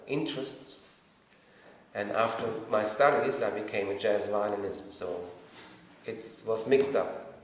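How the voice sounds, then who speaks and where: A man from Germany speaks in a low voice, calm and unhurried, with pauses and fillers as he introduces his music. He sounds set back from the microphone in a very echoey room, with a faint hiss underneath. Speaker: composer. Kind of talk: reflective spoken introduction. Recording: echoey room, distant mic, faint noise.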